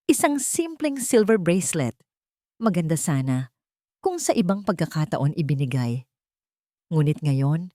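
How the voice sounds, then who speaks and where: A woman narrates a dramatic love story from a script. Her voice sits on the low side for a woman. The sound is dry and close, with hardly any room on it. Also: warm tone, no echo.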